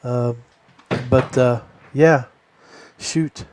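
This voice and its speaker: An American man chatting casually as a podcast co-host, haltingly, repeating words as he goes. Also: mid-range voice, steady tone, noisy background. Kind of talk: casual chat.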